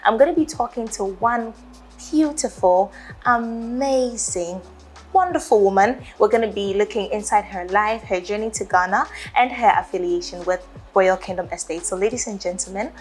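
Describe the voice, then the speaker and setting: A woman's voice: medium pitch, normal speed, soft background music. A young woman hosting an interview, an upbeat presenter who greets the audience and encourages her guest, on a lapel mic in an office. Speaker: interview host. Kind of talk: hosted interview. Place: bright office set, seated on sofa.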